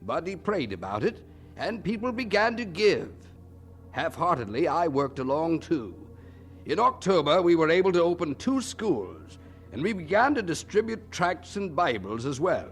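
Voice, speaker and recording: A man's voice: on the high side, big pitch swings, moderate pace, faint music behind. A man playing a candid, wryly puzzled preacher who narrates his memories in a radio drama, reflective and conversational.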